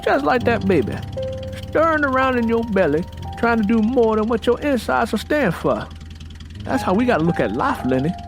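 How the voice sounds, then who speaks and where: Man acting an animated, hot-tempered farmer husband. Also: moderate pace, heavily acted. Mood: insistent, preachy. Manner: emphatic, folksy, preachy, swinging in pitch. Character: hot-tempered, domineering, hard-drinking husband, a poor farmer. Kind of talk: dramatized audiobook character dialogue. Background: music bed.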